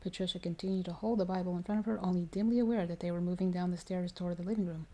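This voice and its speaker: A woman reads a horror story for ASMR listeners. Her voice is lowish and very dark and soft. She keeps a measured pace of storytelling, with dramatic emphasis when characters speak. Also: steady tone. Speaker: ASMR storyteller reading aloud.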